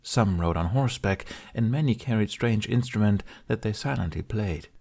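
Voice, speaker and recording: A man reading a fantasy story aloud as narrator, in an unhurried, measured way. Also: lowish voice, creaky, a little mellow. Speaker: fan fiction audiobook reader.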